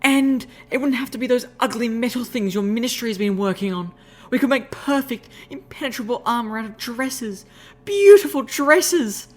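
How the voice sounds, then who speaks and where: A woman's voice: fairly high pitch, very animated, measured pace, voice pushed up. A woman voicing a graceful, refined unicorn mare who speaks in a prim, ladylike way. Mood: enthusiastic. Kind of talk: audiobook reading of fan fiction.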